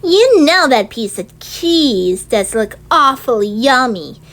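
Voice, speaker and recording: A woman voicing an excited, unshushable little child in a storybook, high and bouncy. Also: takes it slowly, exaggerated voice. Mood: eager.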